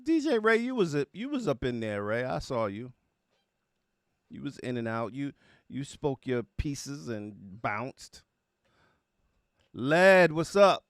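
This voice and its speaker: Animated man hosting a casual livestream. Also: medium pitch, takes it slowly, pauses often, no room sound, clean studio sound. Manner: slangy, informal, addresses viewers by name. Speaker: livestream host of music reaction show. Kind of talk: casual livestream chat greeting viewers.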